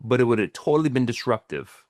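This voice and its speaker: A man's voice: medium pitch, animated, fast talker, darkish tone, no room sound, studio quality. A middle-aged man co-hosting a podcast as a financial planner, explaining ideas in casual chat with many restarts and "right?" tags. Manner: stumbling restarts, frequent tag questions.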